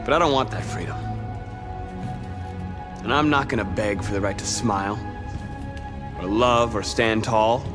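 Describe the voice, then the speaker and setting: A man's voice: on the low side, unhurried, long pauses, lightly acted. A man playing a defiant android rebel leader giving an oratorical speech in a video game. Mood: solemn resolve. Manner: oratorical, building from hushed to forceful. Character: defiant male android rebel leader rallying his people. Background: dramatic music.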